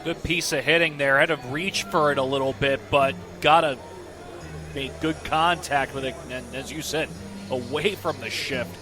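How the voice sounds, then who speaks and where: A man's voice: medium pitch, steady tone, moderate pace, music in the background. A man giving casual live baseball commentary as a color analyst, conversational and offering his own opinions.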